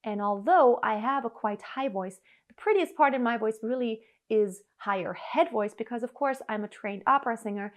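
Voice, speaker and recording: Encouraging woman vocal coach, a bit high. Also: normal speed. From Germany. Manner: earnest, encouraging, animated with her hands. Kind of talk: direct-to-camera singing lesson. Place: living room in front of an upright piano.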